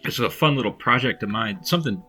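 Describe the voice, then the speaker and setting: A man's voice: on the low side, expressive, fast talker, pushed and bright, husky. A man giving informal, unpolished spoken commentary as a video creator, in a self-deprecating way. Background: faint music.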